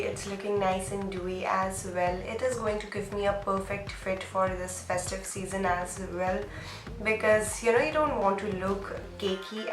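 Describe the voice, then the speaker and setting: A young woman with a nasal voice from a cold, chatting through a makeup tutorial step by step. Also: mid-range voice. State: sick with a cold. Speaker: beauty vlogger. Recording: echoey room, faint music.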